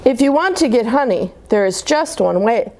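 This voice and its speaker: A woman's voice: a little high, animated, normal speed, darkish tone, slight background noise. A woman voicing a boastful, confident father bear in a children's storybook, making pompous, emphatic pronouncements. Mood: self-assured.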